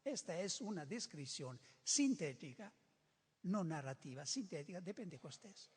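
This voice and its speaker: Older guy preaching, not native, high voice, lots of echo from a big hall. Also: moderate pace. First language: not Spanish. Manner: lively, emphatic, repeats and restarts words. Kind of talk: sermon. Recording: reverberant hall.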